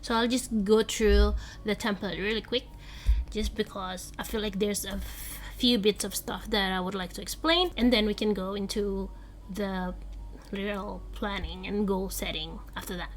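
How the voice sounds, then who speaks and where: A woman's voice: mid-range voice, moderate pace, slight background noise. A young woman talks over her screen in a loose, chatty way, with a congested, slightly blocked voice. She rambles, laughs at herself and keeps reassuring her viewers. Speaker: vlogger. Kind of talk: casual voice-over walkthrough. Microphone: voice-over, off camera.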